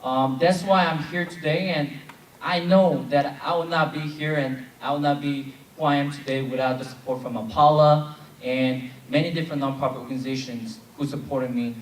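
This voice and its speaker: Young man, from south korea, convention moderator, leads chants, higher voice, echoey hall, heard from a distance. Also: fairly even intonation, normal speed. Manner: leads call-and-response chants. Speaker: plenary moderator. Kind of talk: convention speech. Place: large convention hall with audience. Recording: echoey hall, distant mic, crowd noise.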